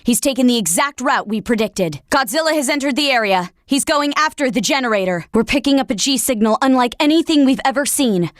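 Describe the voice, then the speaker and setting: A woman's voice: sits high, expressive, brisk, few pauses, no echo, clean studio sound. A woman voicing an urgent military operations officer in a video game, giving formal, commanding announcements in a clipped delivery. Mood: alert, tense. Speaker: game voice actor.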